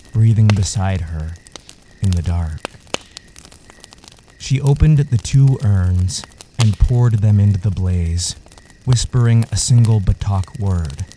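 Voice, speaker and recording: A man narrating a literary audio story in a measured, solemn way. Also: on the low side, warm tone, a little hiss or hum, rough recording.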